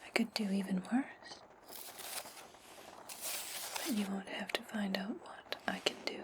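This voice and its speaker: A woman voicing a cold, commanding witch in an ASMR roleplay, speaking slowly in a breathy, threatening whisper. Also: mid-range voice, noisy background, lightly acted. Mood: menacing, commanding. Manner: slow, commanding, coldly threatening. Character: menacing, domineering witch commanding an indebted servant.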